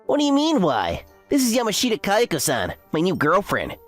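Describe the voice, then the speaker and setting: A woman's voice: mid-range voice, huge pitch swings, a little fast, soft background music. A woman acting a smug, sneering young man. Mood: gloating. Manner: sneering, condescending. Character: smug, mocking young man, a university underclassman. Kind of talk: anime-style story dub acting.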